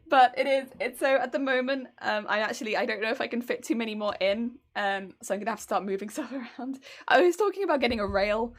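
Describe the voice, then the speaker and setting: Chatty English woman streamer. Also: a little high. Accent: English, slightly tinged with Welsh. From England. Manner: chatty, giggly, rambling with fillers. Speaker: cosplay streamer. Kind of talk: casual livestream chat while crafting.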